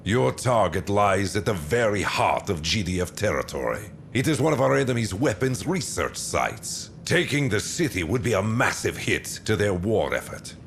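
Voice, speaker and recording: A menacing game warlord, deep silky voice, swoops around, condescending, some rumble behind it. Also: male voice, sing-song, vocal fry. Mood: commanding. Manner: theatrical, deliberate, condescending. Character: menacing, silky, commanding male dynasty warlord. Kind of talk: video game cutscene briefing.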